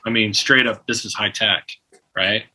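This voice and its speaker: A man in his forties, a guest musician in a casual interview, telling an animated story full of restarts and imitated phone tones. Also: on the low side, moderate pace, low-quality recording.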